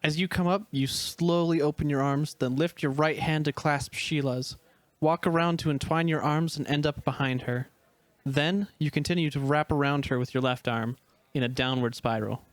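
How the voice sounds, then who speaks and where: A man's voice: on the high side, steady tone, normal speed, dry recording, close to an everyday voice. A man playing a poised, exacting stage director who coaches dancers, in a dramatic reading of a screenplay. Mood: coaching, deliberate.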